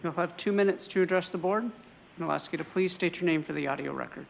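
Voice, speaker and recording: A man reading out meeting procedure as clerk of the board, steady and formal. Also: high-pitched, quick pace, creaky, big-room sound, a little hiss or hum. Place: government board chamber.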